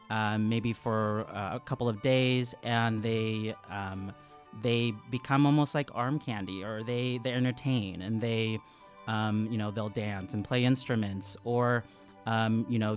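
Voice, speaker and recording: A man improvising his character's story as a player in a tabletop game, hesitant and halting as he thinks aloud. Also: fairly low pitch. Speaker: tabletop role-playing player.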